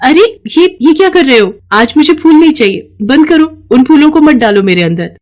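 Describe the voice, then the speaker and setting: A woman's voice: sits high, lively intonation, moderate pace, pitched above the performer's own voice. A woman voicing a sly, playful magical cash machine that talks, teasing and theatrical, in a children's moral story. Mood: alarmed, protesting. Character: talking magical ATM machine, female, playful, sly and fickle. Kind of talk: animated moral story dialogue.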